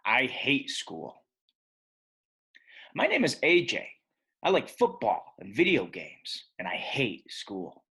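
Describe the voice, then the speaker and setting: A school-hating eight-year-old boy telling his storybook tale, acted out by a man. He is grumpy and sure of his opinions, with very lively, swooping emphasis and a slightly rough, husky tone. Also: medium pitch, slow pace, long pauses, heavily acted. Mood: matter-of-fact griping. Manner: emphatic, expressive. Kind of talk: first-person children's storybook narration.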